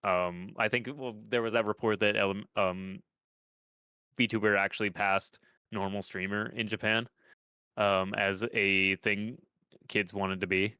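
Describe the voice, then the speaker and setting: A man's voice: lowish voice, level tone, a little slow, bright, forceful tone, clear, resonant tone, dry recording. A young man with a stuffed-up nose, chatting on a podcast in a rambling way, with lots of restarts and casual swearing. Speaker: podcast host.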